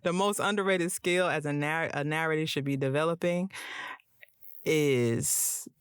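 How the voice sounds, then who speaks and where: A woman hosting a podcast interview in a casual chat, with a breathy, calming voice and a slight lisp. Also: low-pitched, unhurried, no room sound, studio quality. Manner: calm, soothing delivery. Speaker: podcast host and audiobook narrator.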